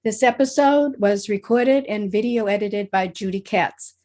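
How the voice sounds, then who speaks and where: A woman's voice: mid-range voice, measured pace, darkish tone. A woman working as an announcer, reading scripted closing credits for a radio play. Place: remote video-call recording.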